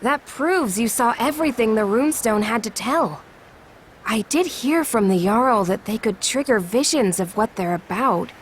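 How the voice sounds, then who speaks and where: An actress playing a brisk, encouraging young woman in a video game, with an upbeat, businesslike manner. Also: on the high side, animated, slight background noise, lightly acted. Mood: pleased, impressed. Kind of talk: scripted video game acting.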